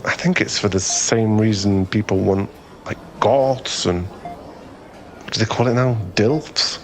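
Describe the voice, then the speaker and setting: A man acting as a friendly young guy with a fairly low voice, chatting in an ASMR boyfriend roleplay. He is easily flustered, rambling and self-effacing in a soft-hearted way. Some ambient noise sits behind him. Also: takes it slowly. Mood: shy, candid.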